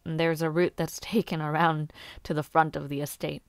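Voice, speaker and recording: A woman playing a gentle, shy and eager young noblewoman who aspires to knighthood, chatting softly and politely in an intimate audio roleplay. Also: deep voice, little pitch movement, normal speed, gravelly, clear, resonant tone, dry recording. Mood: cheerful, guiding. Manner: soft-spoken, chatty, polite, slightly bashful, giggly. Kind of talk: intimate audio roleplay, one-sided conversation.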